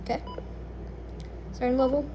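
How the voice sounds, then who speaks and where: A woman casually narrating an unboxing and setup in a halting way, muttering short phrases to herself. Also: high voice, level tone, highs cut off. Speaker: unboxing video host. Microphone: handheld camera or phone, no tripod. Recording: noisy handheld home video recording.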